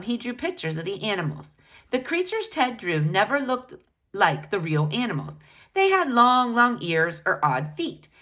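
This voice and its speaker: A woman narrates a children's biography aloud in an expressive, teacherly manner. Her voice sits at an average pitch for a woman and swings widely up and down through the phrases. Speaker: children's book reader.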